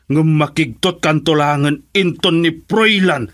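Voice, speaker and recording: A man's voice: fairly high pitch, takes it slowly, a bit bright, no echo, studio quality. A Filipino man playing an angry, domineering father in a radio drama, scornful and threatening. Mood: stern, menacing. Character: angry, domineering father opposing his daughter's marriage.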